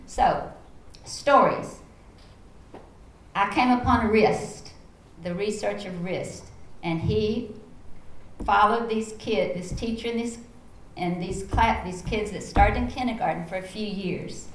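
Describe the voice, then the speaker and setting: A middle-aged woman with a Texas accent, speaking on a conference panel and telling stories from her teaching in an animated way. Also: medium pitch, takes it slowly. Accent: Texan. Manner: animated storyteller, acts out her own quoted lines. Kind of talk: panel talk, personal storytelling. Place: conference room. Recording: echoey room, faint background noise.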